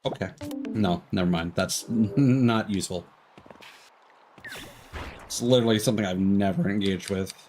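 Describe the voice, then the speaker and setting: Low voice guy streaming a game, kinda talking to himself, music playing behind him. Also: normal speed, pauses often, a little mellow. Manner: musing to himself, trailing off mid-thought. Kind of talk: casual live gameplay commentary.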